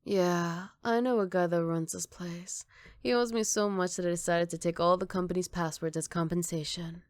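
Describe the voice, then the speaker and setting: Woman playing a soft, low-voiced flirty female villain. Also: dry recording, close to an everyday voice. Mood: sly, playful. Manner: sly, unhurried, mock-polite. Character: flirty, teasing, self-assured female villain in custody. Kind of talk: ASMR roleplay.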